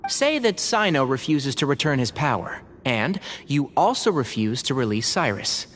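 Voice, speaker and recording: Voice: mid-range voice, sing-song, normal speed, fairly bright tone, a little hiss or hum. An actor playing a composed, analytical male scholar who speaks in a precise way, in a game cutscene.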